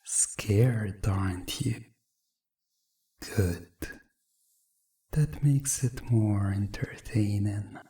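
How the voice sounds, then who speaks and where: A man voicing a menacing, seductive male vampire in a hypnosis roleplay, hushed, taunting and deliberate. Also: fairly low pitch. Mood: taunting, commanding.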